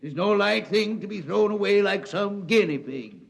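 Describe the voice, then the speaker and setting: An actor playing a folksy old Southern senator with a Southern US accent, orating in a 1950s radio drama. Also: male voice, high-pitched, lively intonation, moderate pace, gravelly. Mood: indignant. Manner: oratorical, folksy. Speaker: senator chairing a hearing.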